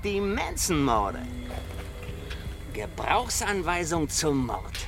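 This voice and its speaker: A twitchy male barfly and would-be writer in a radio drama, with a voice that swings widely in pitch. He hesitates, then boasts and needles, over clearly audible music. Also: huge pitch swings, slow pace, heavily acted. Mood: excited, taunting. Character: jittery male diner regular, taunting would-be screenwriter. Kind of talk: German radio drama acting.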